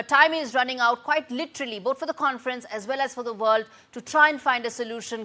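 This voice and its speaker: Woman news anchor, brisk, sets up questions; somewhat high voice. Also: fairly bright tone. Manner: brisk, framing questions, some fillers. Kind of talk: news interview hosting.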